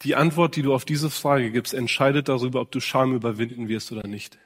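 A man's voice: mid-range voice, fairly even intonation, fairly quick, husky. Man preaching in a reverberant hall. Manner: earnest direct address with rhetorical questions. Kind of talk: sermon to men.

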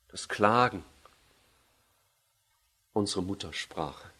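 Emphatic German preacher giving a sermon. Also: male voice, sharp tone, husky. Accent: German, with a native dialect. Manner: emphatic, rises in pitch on key phrases and slows for scripture.

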